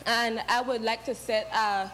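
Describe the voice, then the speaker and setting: A young woman from Belize, a pageant contestant answering earnestly and a little haltingly. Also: a little high, steady tone, a bit bright, a little hiss or hum, poor audio. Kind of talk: studio interview answer.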